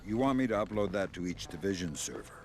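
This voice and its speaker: An actor playing a dry-witted older male covert agent in a video game, speaking in a wry, deadpan manner. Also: low-pitched, fast talker.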